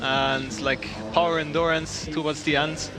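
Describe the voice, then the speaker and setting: A man's voice: on the high side, flat delivery, measured pace, a crowd in the background. A man with an Austrian accent giving casual live sports co-commentary. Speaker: co-commentator and competition climber. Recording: music playing behind the voice.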